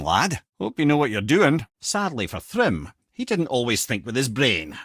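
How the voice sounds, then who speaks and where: Old scottish know-it-all talking head from a video game, witty storyteller, really animated pitch, dry studio sound. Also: male voice, breathy edge, dry recording. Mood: wry. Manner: wry, eloquent, colloquial storyteller. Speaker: companion and lore-teller.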